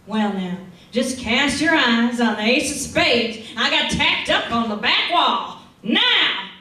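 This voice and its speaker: A very echoey, distant recording with music behind. a brash, gun-toting frontier saloon woman from a radio western, high in pitch. Her talk is loud, bossy and folksy. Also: very animated, slow, slight background noise, full character voice. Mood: bossy, tough. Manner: loud, bossy, folksy Old West speech. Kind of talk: old-time radio western acting.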